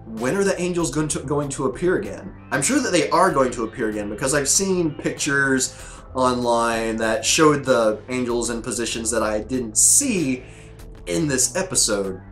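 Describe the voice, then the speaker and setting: A man's voice: medium pitch, lively intonation, moderate pace, a bit bright, faint music behind. A man hosting his own show, chatting loosely with lots of fillers and restarts, his voice scratchy from a sore throat. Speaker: host reviewing episodes. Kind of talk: casual rambling commentary to camera.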